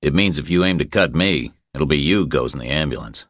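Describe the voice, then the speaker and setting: A man voicing a tough, unflappable thriller hero in an audiobook, laconic and calmly confident. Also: a bass voice, brisk, no room sound, clean studio sound, heavily acted. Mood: calm threat.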